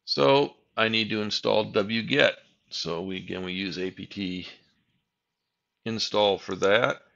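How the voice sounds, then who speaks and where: Low-voiced older man, methodical tech walkthrough. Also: slow pace, gravelly, a bit bright, highs cut off. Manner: plain step-by-step explaining, methodical. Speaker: tech tutorial host. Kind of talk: screen-recorded software tutorial narration.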